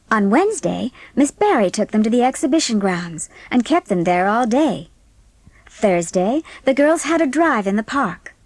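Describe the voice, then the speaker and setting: A woman with a husky voice narrating a storybook in a scripted audiobook style. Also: medium pitch, a little mellow, no echo.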